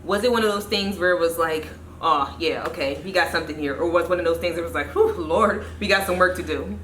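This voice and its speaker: A young woman interviewing a coach, with brisk, playful questions. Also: fairly low pitch, a bit bright. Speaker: interviewer, athletics staff. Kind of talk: casual interview. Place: studio set with sponsor backdrop. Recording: echoey room with faint noise.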